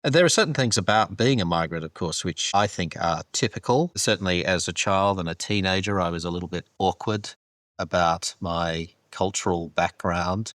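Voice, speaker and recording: A man in his fifties with a Canadian accent, an archbishop giving measured, reflective answers in an interview and laughing softly now and then. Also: low voice, no room sound. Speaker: archbishop guest.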